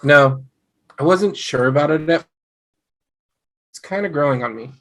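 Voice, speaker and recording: A man playing an eager, devoted young husband in a sitcom table read, earnest and excitable. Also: on the high side, unhurried, gravelly. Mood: eager, reassuring.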